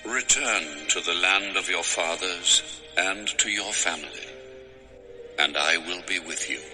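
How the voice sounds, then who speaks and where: An actor voicing God as a solemn, commanding figure in a dramatized scripture reading. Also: male voice, low voice, over music. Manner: slow, weighty, authoritative.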